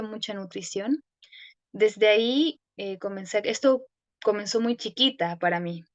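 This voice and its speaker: A young woman with a Chilean accent, thoughtfully telling her own story as a guest, with many pauses and restarts. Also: mid-range voice, dry recording, muffled recording. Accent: Chilean Spanish. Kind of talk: reflective personal storytelling.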